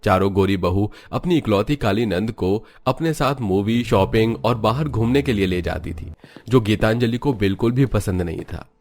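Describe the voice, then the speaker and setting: A man's voice: lowish voice, moderate pace, a little mellow. A man narrating a scripted cartoon family story.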